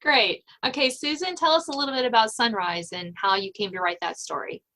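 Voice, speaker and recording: A woman's voice: fairly high pitch, fairly quick, pushed and bright. Conversational woman hosting a podcast. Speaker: podcast host and interviewer. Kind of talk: friendly conversational podcast interview.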